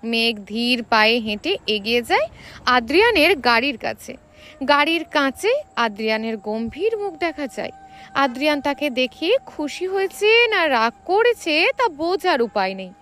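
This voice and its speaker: A woman reading a scripted romantic story as an expressive narrator. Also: high voice, normal speed.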